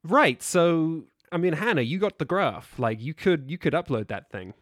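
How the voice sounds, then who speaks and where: A man's voice: fairly high pitch, big pitch swings, moderate pace. A man chatting casually as a podcast guest, wordy and drily playful in how he talks.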